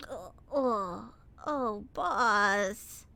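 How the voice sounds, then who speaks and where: A woman playing an excitable, loyal young sidekick in an audio drama, exclamatory, dramatic and incredulous. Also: mid-range voice, very slow, creaky, full character voice.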